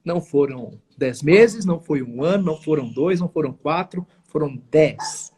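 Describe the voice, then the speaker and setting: A man's voice: fairly high pitch, lively intonation, moderate pace, a little mellow. A man in his forties from São Paulo with a São Paulo accent, telling his story as an interview guest in an earnest, emphatic way with formal phrasing and frequent restarts. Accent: São Paulo Portuguese. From São Paulo, Brazil. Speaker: guest, network marketing entrepreneur.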